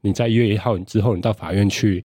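A man's voice: on the low side, steady tone, quick pace, dark tone, dry recording, clean studio sound. A man with a Taiwanese Mandarin accent, an interview guest explaining methodically and often rephrasing as he goes. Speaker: guest judge.

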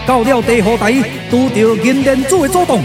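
A man's voice: very high-pitched, animated, brisk. A man with a Taiwanese accent giving dramatic scripted narration for a glove puppetry radio play. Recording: music under the voice.

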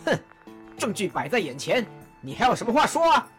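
A woman's voice: on the high side, very animated, fairly quick, soft background music. A woman playing stern general Zhang Liao. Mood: accusing. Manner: stern, scolding, warning. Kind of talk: radio drama acting.

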